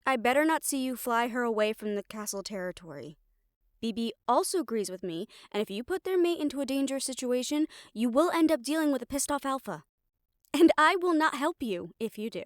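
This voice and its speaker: A woman's voice: sits high, lively intonation, brisk, dry recording, studio quality. A woman playing a stern, exasperated young siren banshee spellcaster in a roleplay audio drama, talking conversationally and emphatically. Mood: stern, chiding. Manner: conversational, sighing, chiding, emphatic.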